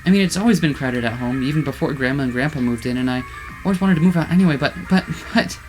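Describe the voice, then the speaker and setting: A man's voice: medium pitch, fast, faint music behind, natural voice. A man voicing a tearful, poor young woman who sells flowers, talking in a wavering, pleading voice.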